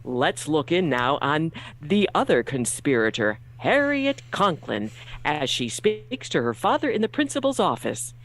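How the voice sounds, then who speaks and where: A woman's voice: low voice, sing-song, unhurried. A woman announcer reading scripted narration and sponsor messages for a radio show.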